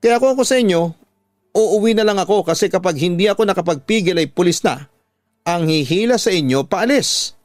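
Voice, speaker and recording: A man's voice: high voice, a little fast, no echo, pitched above the performer's own voice. A man voicing a brave, composed woman teacher in a radio drama, speaking firmly and sternly to protect a child. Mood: stern, warning. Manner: firm, calm, stern.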